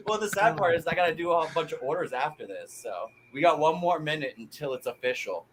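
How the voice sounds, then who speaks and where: A man's voice: fairly high pitch, lively intonation, fast, a little hiss or hum, low-quality recording. A man in his thirties telling stories in a casual chat, laughing at his own jokes.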